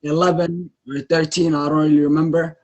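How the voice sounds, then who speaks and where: A man's voice: a little high, flat delivery, brisk, darkish tone, dull audio. A young adult man from Botswana, a podcast guest, talking casually and hesitantly with many ums and restarts.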